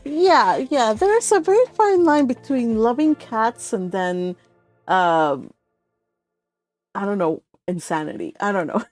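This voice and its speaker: A woman's voice: on the high side, animated, slow pace, a little mellow, faint music behind. A woman hosting a read-along stream, chatting casually and rambling with frequent laughter. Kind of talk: casual chat with participants.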